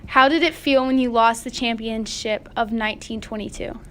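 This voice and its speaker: A woman's voice: on the high side, steady tone, moderate pace, a little hiss or hum. A woman acting as interviewer in a scripted, 1920s-themed radio interview.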